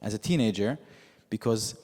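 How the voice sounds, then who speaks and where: A man whose first language is Yiddish, preaching as a rabbi in a measured storytelling way with frequent pauses. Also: lowish voice, darkish tone. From United States. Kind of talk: sermon. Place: synagogue sanctuary with long reverb. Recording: reverberant hall.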